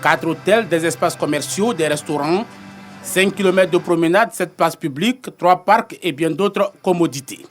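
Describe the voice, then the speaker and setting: A man's voice: a little high, normal speed, soft background music. A man narrating a scripted television news report as a reporter.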